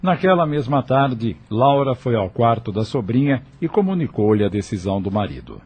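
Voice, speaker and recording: A man's voice: medium pitch, sing-song, normal speed, background noise. A Brazilian man narrating an audio novel in a scripted storytelling style.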